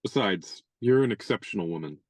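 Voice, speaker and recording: A gallant young gentleman, played by a man, courteous and gently wry, with a mid-range voice. He speaks in measured, polite turns as in a radio play. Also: on the low side, expressive.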